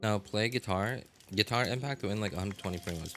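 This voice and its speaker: A man's voice: on the low side, brisk, fairly bright tone, faint music behind. A man live-streaming a game, chatting casually and rambling as he thinks aloud.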